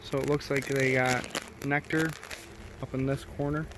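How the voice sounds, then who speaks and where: Halting man explaining beekeeping outdoors. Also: mid-range voice, flat delivery, background noise. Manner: halting, many uh and um fillers. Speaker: beekeeper, co-host. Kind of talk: casual explaining during a hive inspection. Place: outdoors at a backyard beehive. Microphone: handheld camera mic. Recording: outdoor take with faint bee buzz.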